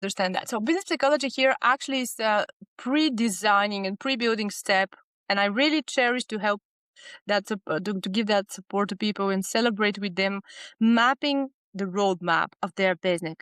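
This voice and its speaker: A woman's voice: mid-range voice, fairly quick, a bit bright, clear, resonant tone, no room sound. A woman from Bulgaria speaking English with a Bulgarian accent, a business psychologist in a casual podcast interview who talks in short, earnest listed fragments.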